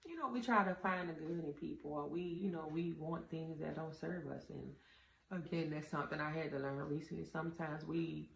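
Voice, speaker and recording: A woman with a very low, deep voice and a gravelly edge talks earnestly to her viewers, giving casual advice. She sounds like she is in a roomy indoor space. The recording is a little dull, with a faint hum of ambience underneath. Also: a little fast. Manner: earnest, addresses viewers as y'all. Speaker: vlogger giving relationship advice. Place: indoor room with a door.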